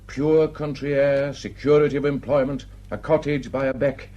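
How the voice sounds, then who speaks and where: A man's voice: medium pitch, steady tone, normal speed, dark tone, dull audio, natural voice. An actor playing a gossipy, plain-spoken village man with a Northern English accent, telling indignant tales in an emphatic, colloquial way in a radio drama. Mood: wry indignation. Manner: garrulous, emphatic, colloquial storytelling.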